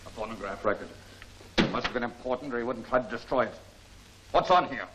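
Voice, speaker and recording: Guy playing take-charge 1940s serial hero, medium voice, brisk orders, bit of old film noise. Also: mid-range voice, natural voice. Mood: urgent. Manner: brisk, authoritative. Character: brisk, resolute crime-fighting hero leading his men. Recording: noisy 1940 film serial soundtrack.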